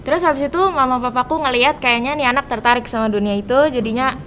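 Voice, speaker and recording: A woman's voice: fast talker, fairly bright tone. A teenage female student, chatting casually as an interview guest. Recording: clear background noise, low rumble.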